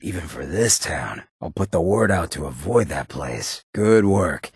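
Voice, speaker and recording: An actor voicing a sardonic, streetwise ghoul town boss for a video game, with a gravelly rasp and a sly, slangy delivery. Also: male voice, deep voice, very animated, a little slow, no room sound, studio quality, heavily acted. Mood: cool, approving. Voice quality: gravelly, raspy. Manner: sly, laid-back, slangy. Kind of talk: video game companion voice lines.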